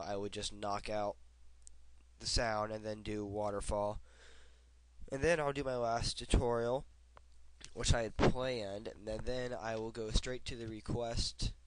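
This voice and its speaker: A young man with a head cold, hosting a casual software tutorial and rambling with fillers as he clicks along at his desk. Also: fairly low pitch, no echo. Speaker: tutorial host. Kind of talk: casual screen-recorded tutorial.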